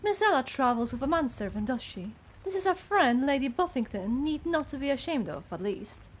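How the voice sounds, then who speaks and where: A woman's voice: high-pitched, sing-song, a little fast, husky, full character voice. A woman playing a gossipy, judgmental older housekeeper in a video game, with a fussy, haughty manner. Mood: haughty, inquisitive. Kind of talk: scripted game character dialogue.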